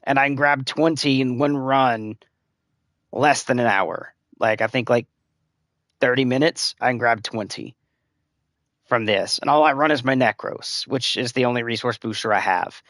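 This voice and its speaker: Guy streaming a game, kinda hoarse and scratchy, rambles with lots of likes and uhs, dry close mic. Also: mid-range voice, moderate pace, a bit bright, dry recording. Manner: rambling, filler-heavy advice-giving. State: slightly hoarse, losing voice. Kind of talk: casual live-stream gameplay commentary.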